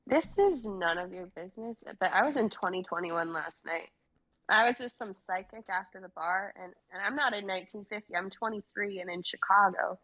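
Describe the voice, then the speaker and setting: Young actress doing a lost girl in her twenties, awkward n halting, kinda defensive, normal pitch, stage reading. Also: sharp tone, rough recording. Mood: defensive, startled. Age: young adult. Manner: hesitant, halting, modern casual phrasing. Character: lost, bewildered 23-year-old modern woman, awkward and defensive. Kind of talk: dramatic reading of a script.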